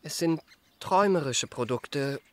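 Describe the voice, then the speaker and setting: An actor playing a suave young gentleman charmer in a radio drama, courteous and ornately polished. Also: fairly high pitch, lively intonation, unhurried, no echo. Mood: courteous, wistful. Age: young adult. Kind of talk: German radio drama acting.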